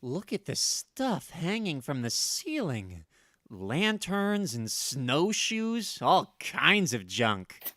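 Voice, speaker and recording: A man's voice: on the high side, wildly swooping pitch, takes it slowly, fairly bright tone, no room sound, studio quality, heavily acted. A man playing an eager, wide-eyed teenage son in an old-time radio family comedy.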